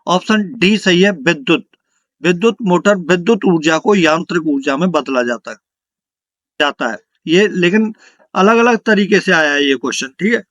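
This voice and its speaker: A man's voice: high voice, lively intonation, normal speed, fairly bright tone, dry recording. A man teaching an exam lesson, reading out questions and answers and repeating them for emphasis.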